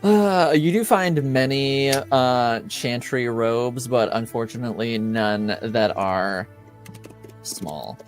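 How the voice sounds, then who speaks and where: A man running a tabletop roleplaying game as game master. He narrates at a slow pace in a mid-pitched voice, pausing to search for words. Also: faint music behind.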